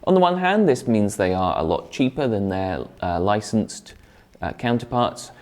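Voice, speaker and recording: A man's voice: lowish voice, lively intonation, normal speed, darkish tone. A man presenting a product review in a conversational, explanatory way, partly scripted.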